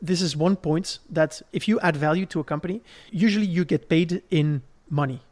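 A man's voice: a little high, moderate pace, darkish tone. A man from Belgium explaining a subject in a conversational podcast style, laying it out step by step and checking in as he goes. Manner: didactic, step-by-step, checks in with "right?". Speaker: podcast host explaining investing.

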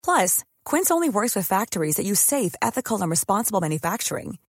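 A woman's voice: medium pitch, very animated, fast talker, dry recording, clean studio sound. A woman reading a scripted commercial in a persuasive way. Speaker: ad narrator.

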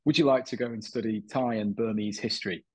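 A man in his thirties from Manchester, a guest chatting in a relaxed, self-deprecating way with many small fillers. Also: on the low side, quick pace, slightly rough, no room sound. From Manchester, England. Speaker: guest, documentary maker. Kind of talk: casual interview chat.

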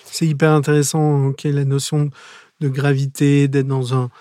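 A man's voice: medium pitch, little pitch movement, moderate pace, mellow, dry recording, clean studio sound. A man in his forties hosting a podcast interview, hesitant with many fillers, rephrasing his guest's points. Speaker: podcast host. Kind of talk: interview questions.

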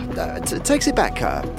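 High, wildly swooping nervous street commoner, stammering rustic slang, fantasy audio drama, music underneath. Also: male voice, wildly swooping pitch, unhurried, gravelly, full character voice. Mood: flustered, awed.

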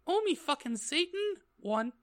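A woman's voice: high-pitched, big pitch swings, measured pace, no echo. A woman voicing a melodramatic, easily outraged teenage goth girl in a comic story reading. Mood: overwrought outrage. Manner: exclamatory, overwrought.

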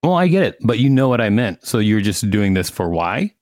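A man's voice: on the low side, sing-song, brisk, a little mellow, no room sound, studio quality. A man co-hosting a podcast, reading an article aloud and adding playful, mock-indignant asides. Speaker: podcast co-host.

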